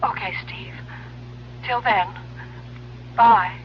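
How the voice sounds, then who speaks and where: A woman's voice: medium pitch, extremely slow, a little hiss or hum. A woman playing an anxious, devoted young American, breathless and fretful in a radio drama. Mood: worried. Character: anxious, devoted young female friend of the hero. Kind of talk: 1970s radio drama acting. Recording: muffled, humming old radio broadcast.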